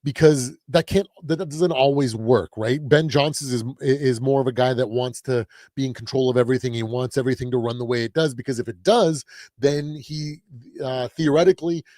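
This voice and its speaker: A man hosting a casual sports talk livestream, his voice a little raspy while he gets over a cold, rambling with lots of restarts and fillers. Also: mid-range voice, a little fast, a little mellow, dry recording, studio quality. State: recovering from a cold. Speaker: host.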